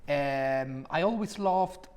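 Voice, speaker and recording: A young man from Málaga with a Spanish accent, answering interview questions in English with thoughtful, hesitant pauses. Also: a little high, a little mellow. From Málaga, Spain. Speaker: property specialist at an agency.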